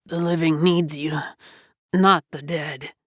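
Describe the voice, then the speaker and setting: A woman's voice: low-pitched, steady tone, slow pace, no room sound, clean studio sound. A woman voicing a dying man who speaks weakly and tenderly in a storybook reading. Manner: faint, strained, tender. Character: dying man, gentle and weak.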